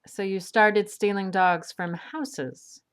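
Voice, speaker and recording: Woman playing a dry, sensible female adventurer, measured. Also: medium pitch, steady tone, clear, resonant tone, natural voice. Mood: questioning. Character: sensible, bookish woman adventurer, dryly skeptical. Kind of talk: audio drama acting.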